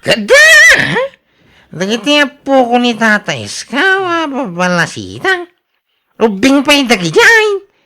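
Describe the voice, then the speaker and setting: A woman's voice: on the high side, huge pitch swings, unhurried, bright, forceful tone, heavily acted. A woman with an Ilocano accent playing an exasperated, defensive working husband in a radio drama, full of protest.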